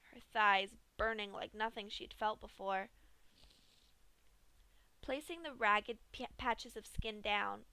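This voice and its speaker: A woman reading a dark fanfic aloud in a steady storytelling manner. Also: mid-range voice, no echo, low-quality recording. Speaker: fanfiction reader.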